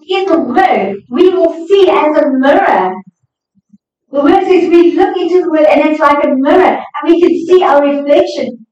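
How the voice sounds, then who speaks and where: High-voiced woman preaching in an echoey hall. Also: darkish tone. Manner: emphatic, exhorting. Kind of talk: sermon to a congregation. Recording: echoey hall, distant, slightly dull sound.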